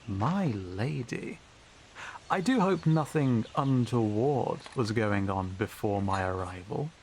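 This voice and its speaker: Slow-drawling smug villain knight. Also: male voice, on the low side, lively intonation, background noise. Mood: feigned courtesy. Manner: pompous, drawling, mocking. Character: smug, scheming knight, a haughty male villain suitor. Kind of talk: theatrical fantasy audio roleplay acting.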